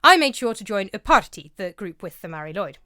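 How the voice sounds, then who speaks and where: A woman's voice: medium pitch, sing-song, fairly quick, crowd noise. A woman narrating her vlog in a scholarly, lightly amused tone, reading a prepared script. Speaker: vlogger and narrator. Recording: dry close-mic voice-over.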